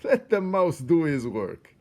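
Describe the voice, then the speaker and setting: A man acts out a mocking rat ringleader from a children's storybook. The delivery is theatrical and cruelly gleeful. The pitch jumps high and swings widely as he relishes each jeer. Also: sing-song, takes it slowly. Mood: amused.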